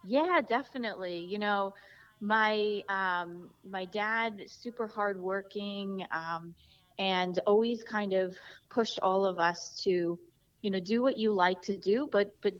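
A woman's voice: medium pitch, takes it slowly, muffled recording. A woman from New Jersey, an interview guest, talking in a chatty way with many fillers and restarts. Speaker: guest, founder of a design studio. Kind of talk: casual interview chat.

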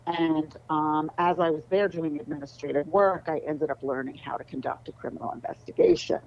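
Low-voiced New woman, chatty. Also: brisk, dull audio, slight background noise. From New York City. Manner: relaxed, conversational, frequent ums and laughs. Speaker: guest, lawyer. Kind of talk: casual interview conversation.

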